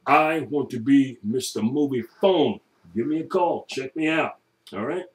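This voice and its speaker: A middle-aged man recording a chatty, joking audition, laughing often and now and then dropping into a booming announcer voice. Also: measured pace, far from the mic. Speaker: voice-over audition. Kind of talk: self-made audition video.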